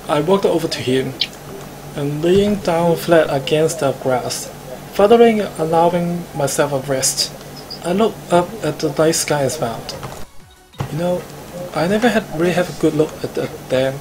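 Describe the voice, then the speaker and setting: Higher-pitched Hong Kong man reading aloud. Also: lively intonation, moderate pace, over music, low-quality recording. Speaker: streamer reading the protagonist. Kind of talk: live visual novel read-aloud.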